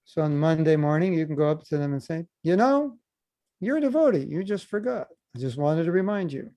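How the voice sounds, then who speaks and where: A man teaching over a video call, his voice low-toned and dark with a gravelly edge. He talks in an easy, joking way, teasing his listeners as he answers questions. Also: big pitch swings, fairly quick. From United States. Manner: humorous, teasing, easygoing. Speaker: spiritual teacher.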